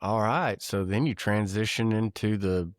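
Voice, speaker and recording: A middle-aged man, his voice very deep, hosts the chat in a folksy, curious way and reacts quickly. The recording is close and dry. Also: no room sound. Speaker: podcast host. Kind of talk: conversational interview.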